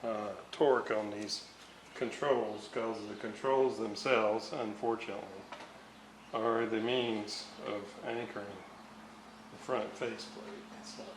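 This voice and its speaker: Man, very slow pace, halting mutter, hands-on workbench demonstration, clear background noise. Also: on the low side, fairly even intonation. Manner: halting, muttering to himself while working. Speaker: radio restorer. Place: indoor room, at a workbench. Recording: roomy, noisy home recording.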